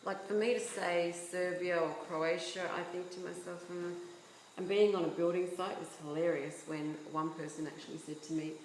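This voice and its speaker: A woman's voice: fairly low pitch, fairly even intonation, normal speed, echoey room, slight background noise, rough recording. A woman from Yugoslavia, a book author, talking earnestly as an interview guest and making her points with rhetorical questions. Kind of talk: casual interview chat.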